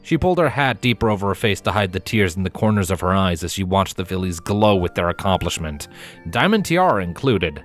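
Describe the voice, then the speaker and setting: A man giving an expressive scripted narration of a story as its narrator. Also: low-pitched, fairly quick, soft background music.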